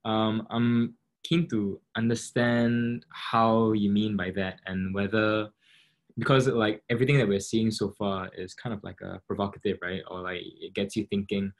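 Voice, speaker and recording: A man's voice: fairly low pitch, little pitch movement, normal speed. A man on a video call asking a question, tentative and full of fillers. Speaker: audience member asking a question. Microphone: laptop video call.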